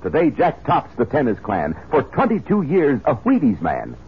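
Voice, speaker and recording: A man delivering a 1950s radio advertisement in punchy, upbeat patter as a pitchman. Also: huge pitch swings, moderate pace, breathy edge. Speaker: commercial pitchman. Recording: muffled old radio broadcast.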